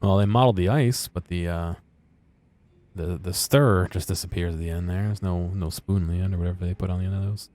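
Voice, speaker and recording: A man's voice: deep voice, fairly even intonation, brisk, dark tone. A man giving casual commentary while exploring a video game, musing and muttering to himself.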